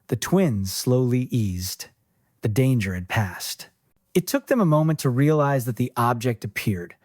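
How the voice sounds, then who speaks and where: A man reading scripted fiction as an audiobook narrator, in a measured storytelling manner. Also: mid-range voice, darkish tone, no echo, clean studio sound.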